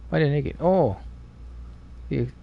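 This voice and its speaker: Low-key male streamer with a gravelly voice. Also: measured pace. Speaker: livestreamer playing a video game. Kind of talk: casual gameplay commentary, reading game text aloud. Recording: dull, slightly noisy stream audio.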